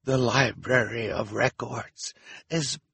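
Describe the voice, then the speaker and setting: Man putting on a slow, accusing old man. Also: mid-range voice, steady tone, gravelly, pushed and bright, husky, dry recording, voice pushed up, exaggerated voice. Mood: weary accusation. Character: angry old volunteer man with poor eyesight.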